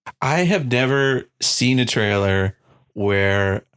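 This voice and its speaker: A man's voice: mid-range voice, animated, slow pace, a bit bright, clear, resonant tone, no room sound, clean studio sound. A man co-hosting a casual movie podcast, joking and slipping into goofy voices. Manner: jokey, puts on goofy voices for characters. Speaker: podcast co-host.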